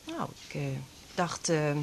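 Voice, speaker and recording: A woman's voice: sits low, big pitch swings, takes it slowly, slight background noise, heavily acted. A woman acting a troubled, resigned character in a radio drama, talking in a hesitant, subdued way. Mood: hesitant, uneasy.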